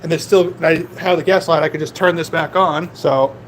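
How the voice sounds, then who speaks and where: High-voiced rambling man in a loud garage. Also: fairly even intonation, moderate pace, heavy background noise. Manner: rambling, chatty, jokey. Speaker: blacksmith streamer. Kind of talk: casual shop talk to live chat. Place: small garage workshop.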